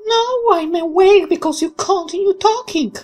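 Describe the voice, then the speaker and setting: A woman voicing a playful, frank, soft-hearted young woman in a visual novel game. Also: extremely high pitch, normal speed, faint music behind, pitched above the performer's own voice. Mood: soft, intimate.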